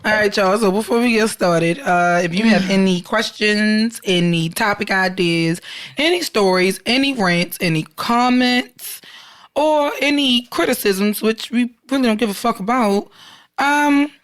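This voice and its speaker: A woman's voice: mid-range voice, measured pace. A woman co-hosting a podcast, reading out the show's contact details with sassy, profane asides. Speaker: podcast co-host. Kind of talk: casual chat, reading show contact details.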